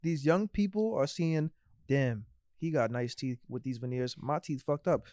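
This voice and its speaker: A man in his twenties, co-hosting a podcast in animated, slangy, laughing chat. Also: medium pitch, normal speed, no room sound, clean studio sound. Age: 20s. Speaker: podcast co-host. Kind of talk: casual chat.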